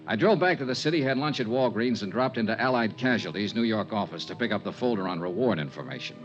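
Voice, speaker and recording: An actor playing a dry, persistent insurance investigator in a 1950s radio drama, with an American accent and clipped, understated delivery. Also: male voice, fairly low pitch, animated, quick pace, lightly acted. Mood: wry narrating. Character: dry, persistent male insurance investigator narrating his case. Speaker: investigator and narrator. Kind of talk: 1950s radio detective drama, scripted narration. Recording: dull vintage radio recording, music.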